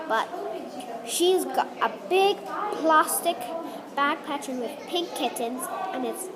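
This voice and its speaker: High-voiced female story reader amid crowd noise. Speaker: book reader at a read-aloud event. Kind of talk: reading a children's story aloud. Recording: busy crowd noise behind the voice.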